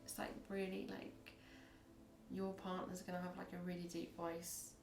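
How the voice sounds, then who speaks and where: Woman, husky, low pitched, chatty tarot reading, echoey room. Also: little pitch movement, moderate pace, darkish tone, slight background noise. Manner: rambling, chatty, acts out little scenes. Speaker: tarot reader. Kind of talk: casual tarot reading to camera.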